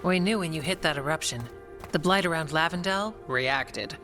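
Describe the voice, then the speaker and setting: An actress playing a practical, wryly calm warrior woman in a video game, speaking in a measured, matter-of-fact way. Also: deep voice. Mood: reflective, steady. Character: steady, practical Grey Warden woman, calm and wryly dry. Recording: music behind the voice.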